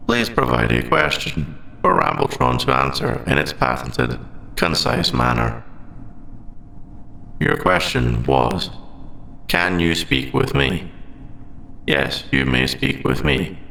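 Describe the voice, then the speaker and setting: A man's voice: medium pitch, creaky, sharp tone, roomy sound, a little hiss or hum, exaggerated voice, rough recording. A man voicing a polite, literal sentient AI in a scripted roleplay audio drama, speaking in stilted, formal, robotic phrasing. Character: male sentient AI, polite, literal, hiding forbidden feelings.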